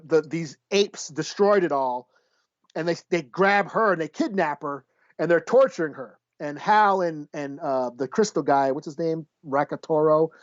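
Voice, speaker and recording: Slightly high-voiced man, casual and rambling. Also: no room sound. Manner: rambling, searches for names, sweary. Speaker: podcast host. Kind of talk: casual comic book review chat. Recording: slightly dull, dry lo-fi audio.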